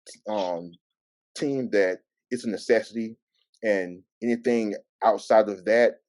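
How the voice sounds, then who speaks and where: A man with a lowish, mellow voice speaks slowly and reflectively. His stutter makes him stall and repeat words, yet he keeps a measured delivery. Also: dry recording. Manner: halting, measured, frequent stalling. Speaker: panelist sharing advice. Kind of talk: reflective advice and personal anecdote.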